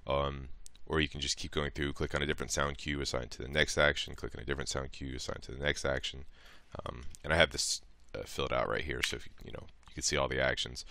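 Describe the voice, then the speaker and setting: A man with an extremely deep yet smooth, clear voice presents a software tutorial. He is informal and a little hesitant as he explains each step. The recording is close and dry. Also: no echo. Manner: informal, hesitant, thinking aloud.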